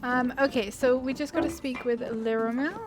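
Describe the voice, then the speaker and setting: A woman's voice: high-pitched, steady tone, moderate pace, over music. A woman giving casual, playful let's play commentary as the host of a gaming video, in a chatty, informal way. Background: video game ambience.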